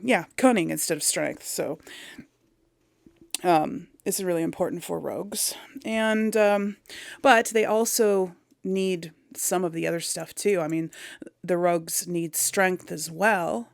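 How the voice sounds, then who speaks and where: A woman's voice: lowish voice, vocal fry, no echo. A woman hosting a casual game commentary, talking informally to her audience and thinking aloud as she rambles along. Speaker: gaming host. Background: music.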